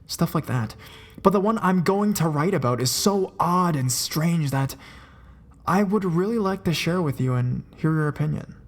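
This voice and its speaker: A man with a fairly high-pitched voice reads true ghost stories aloud in scripted horror narration. He sinks lower and slows down at the tense moments, pulling the listener in. Quiet music plays underneath him. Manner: dramatic, drops low and slows for suspense. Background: faint music.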